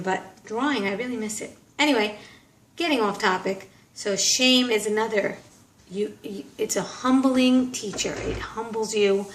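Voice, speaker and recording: A woman vlogger talking casually and earnestly in a rambling, reflective way, her voice slightly hoarse from a cold. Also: medium pitch. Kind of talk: casual reflective monologue to camera. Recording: roomy, distant mic, faint background noise.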